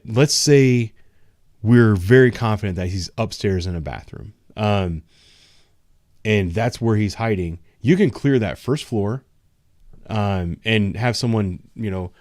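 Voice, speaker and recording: A man with a low voice talks loosely and frankly, a patrol officer chatting on a casual livestream. He hedges with ums and restarts his sentences. The sound is dry and close. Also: darkish tone, no echo. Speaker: patrol officer, show host.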